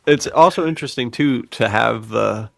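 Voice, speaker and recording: Enthusiastic man hosting a podcast, gravelly edge. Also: mid-range voice, moderate pace, dry recording, clean studio sound. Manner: enthusiastic, effusive, slangy, laughs often. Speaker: podcast host. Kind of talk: casual podcast chat.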